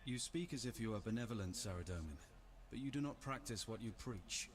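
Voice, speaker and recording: A man voicing a calm, disapproving god in a fantasy video game, measured and moralizing. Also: on the low side, slight background noise, natural voice. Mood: reproachful.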